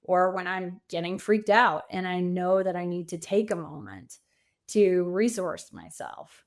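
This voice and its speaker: A woman's voice: on the low side, a little mellow. A middle-aged woman speaking as an interview guest, reflective and conversational. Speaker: podcast guest, coach and author.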